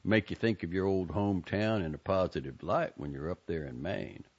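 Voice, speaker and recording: A lecherous middle-aged pickup driver with an oily, wheedling way of talking, as a man puts him on. The voice is gravelly and husky. It sits on a dull, lo-fi audiobook recording. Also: low-pitched, normal speed.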